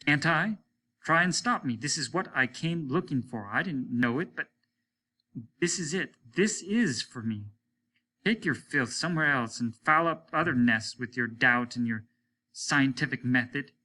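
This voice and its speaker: A man reading a story, voicing an earnest, defiant crewman who speaks in an impassioned, halting, pleading way. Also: medium pitch, animated, bright, forceful tone, pitched above the performer's own voice. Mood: defiant, fervent. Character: earnest, defiant male crewman standing up to his captain. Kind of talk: dramatic audiobook reading.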